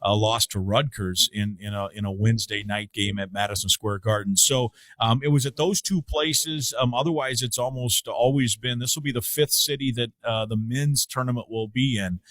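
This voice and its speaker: A man's voice: medium pitch, normal speed, hardly any pauses, a bit bright, dry recording. A middle-aged man who grew up in Iowa, talking as a guest on a sports show. He works as a radio play-by-play broadcaster and speaks in a mid-range voice. He thinks aloud, with plenty of fillers and restarts. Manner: thoughtful, many fillers and restarts. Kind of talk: casual sports talk.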